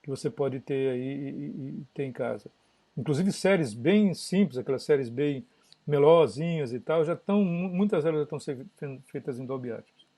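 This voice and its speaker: Mellow-voiced man chatting about audio tech. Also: on the high side, moderate pace. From São Paulo state, Brazil. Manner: chatty, explains at length with frequent tag questions. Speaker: guest broadcast audio engineer. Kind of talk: casual technical chat on a live podcast.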